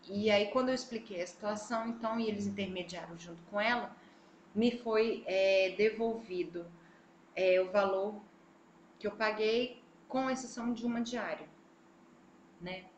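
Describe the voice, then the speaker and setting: A woman from Brazil with a Brazilian Portuguese accent, a travel vlogger telling her story in a casual, animated way and talking straight to her viewers. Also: medium pitch, moderate pace, muffled recording, slight background noise. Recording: echoey room, faint ambience.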